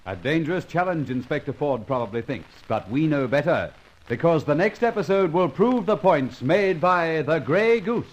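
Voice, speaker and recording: A man's voice: on the high side, sing-song, moderate pace, low-quality recording. A man announcing an old radio serial with dramatic scripted narration.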